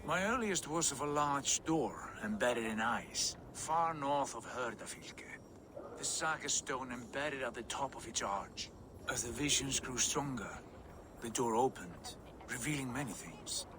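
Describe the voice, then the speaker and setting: A man's voice: mid-range voice, expressive, measured pace, background noise, close to an everyday voice. A man playing a proud, visionary Viking warrior in a video game, speaking in solemn, grandiose, archaic phrases. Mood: reverent, dreamy. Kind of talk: dramatic video game cutscene acting. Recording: music behind the voice.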